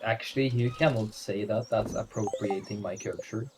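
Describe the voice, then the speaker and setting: A man's voice: fairly low pitch, normal speed. A man chatting casually while gaming on a stream, with playful, mock-serious banter. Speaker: gamer on a group stream. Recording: music behind the voice. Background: music.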